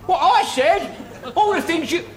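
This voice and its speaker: An actor with a London accent playing a cheeky, matey working-class bus driver in a broad sitcom. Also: male voice, sits high, very animated, brisk, fairly bright tone. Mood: hushed, concerned. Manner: teasing, colloquial, exclamatory.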